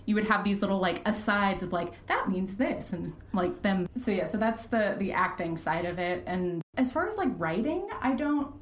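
A woman chatting casually as a podcast guest, candid and self-deprecating, quick to laugh. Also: mid-range voice, lively intonation, a little hiss or hum. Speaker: podcast guest, fiction podcast creator and writer. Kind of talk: casual interview chat. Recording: roomy, echoey sound.

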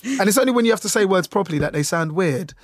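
A man's voice: high voice, lively intonation, rapid-fire, no echo. A man from Jamaica with a Jamaican accent, a radio news presenter chatting loosely in an interview, dry in humour and full of fillers. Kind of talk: relaxed interview chat.

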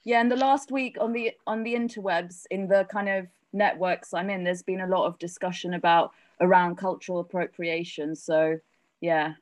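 A woman's voice: on the low side, steady tone, normal speed, no room sound. An English woman chatting casually, enthusiastic and full of fillers. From England. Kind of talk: casual chat.

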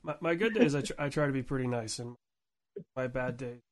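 Male podcast host, mid-range voice, informal and chatty, halting as he frames questions. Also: mid-range voice. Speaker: podcast interviewer. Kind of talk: casual chat.

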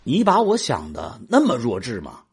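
An actor playing a boastful, defensive man bickering with a friend, husky and colloquial, in a sci-fi audio drama. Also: mid-range voice, wildly swooping pitch, normal speed, exaggerated voice. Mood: indignant. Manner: colloquial, grumbling, exasperated.

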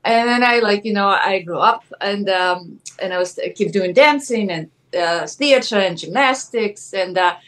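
A woman with a Ukrainian accent, chatting as a guest in an interview, soft-spoken and full of "like" fillers. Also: medium pitch. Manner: soft-spoken, many "like" fillers, thoughtful pauses. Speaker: actress guest. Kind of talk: casual interview chat.

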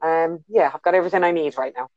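Low-voiced Irish woman on a dry call. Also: fast, a little mellow, dry recording, muffled recording. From Ireland. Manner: thoughtful, many fillers and restarts. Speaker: guest, business consultant. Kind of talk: casual interview over a call. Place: bedroom at home.

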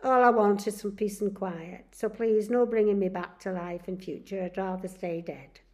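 A woman's voice: on the high side, big pitch swings, very slow, dark tone, voice pushed up, exaggerated voice. A woman voicing a grumpy, stubborn older man who grumbles and snaps in a storybook reading. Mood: grumbling. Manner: grumbling, snappish.

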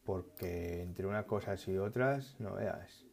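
A man chatting casually about tech news, halting often and correcting himself as he goes. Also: low-pitched, a little mellow. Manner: halting, restarts and self-corrections, musing. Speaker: tech vlogger.

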